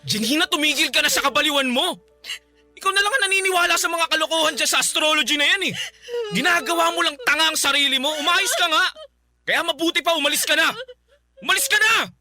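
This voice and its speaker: An impatient ex-boyfriend, brushes off his former partner with exasperated emphasis. The voice is high and sharp-edged, curt and evasive. A quiet music bed runs behind it. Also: fast, heavily acted. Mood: angry. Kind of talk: Filipino radio drama acting. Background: background music.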